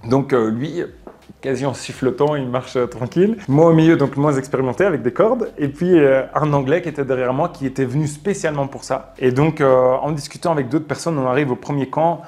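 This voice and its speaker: A man tells an adventure story in a studio interview. His voice is recorded close on a lapel mic. He talks with his hands, restarting phrases and filling pauses as he goes. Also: medium pitch. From Belgium. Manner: animated, gestures a lot, many fillers and restarts. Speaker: travel adventurer guest. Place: studio with plain backdrop.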